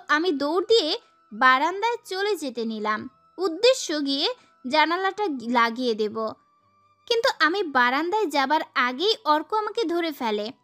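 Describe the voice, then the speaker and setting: A woman narrating a dramatic first-person story as a schoolgirl heroine, in an expressive way. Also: sits high.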